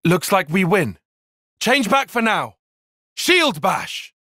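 A man's voice: high voice, sing-song, slow, pushed and bright, no room sound, studio quality, heavily acted. An actor playing a brainy young male tactician in a video game, giving clipped, commanding battle call-outs with composed intensity. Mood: composed, focused.

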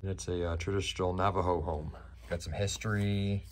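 A man's voice: low voice, steady tone, normal speed. A man in his thirties talking casually as a travel vlogger, recorded on a handheld camera. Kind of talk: casual travel vlog talk. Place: outdoors on trails and inside a log cabin. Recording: noisy handheld camera, ambient sound.

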